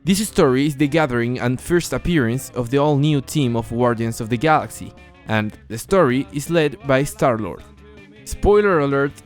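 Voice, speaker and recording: A man reviewing a comic book as a channel host in earnest, scripted narration, often posing rhetorical questions to the viewer. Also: medium pitch.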